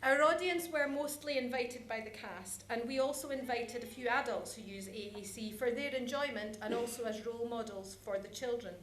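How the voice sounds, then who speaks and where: Woman conference presenter, chatty and self-deprecating, fairly high voice, roomy sound. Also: moderate pace, few pauses. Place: conference hall, live presentation. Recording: echoey room with background noise.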